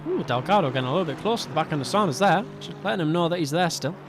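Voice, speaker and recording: A young man calls a sim race on his own in a fairly high voice, chatty and quick to laugh at himself. His voice is going slightly hoarse, and clear racing car engines run under him. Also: lively intonation, background noise. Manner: chatty, self-mocking, laughs often. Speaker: race commentator. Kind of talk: solo sim racing commentary. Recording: game car sounds behind.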